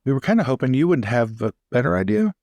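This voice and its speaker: A man's voice: medium pitch, big pitch swings, fast talker, vocal fry, darkish tone, no echo. A man playing a loyal, droll attendant in an improvised roleplay, speaking in terse remarks. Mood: dutiful.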